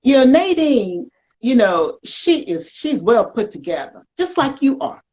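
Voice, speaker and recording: Casting: American female talk host, fairly high voice, playful and folksy, slightly dull lo-fi stream sound. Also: very animated, measured pace, darkish tone. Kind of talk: casual conversational interview.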